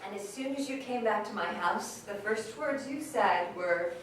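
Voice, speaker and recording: A woman's voice: mid-range voice, expressive, normal speed, close to an everyday voice. A woman playing a frank, loving, free-spirited mother telling plainspoken stories in a staged reading. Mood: concerned. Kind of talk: staged reading, storytelling monologue. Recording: very echoey hall, distant mic, noisy.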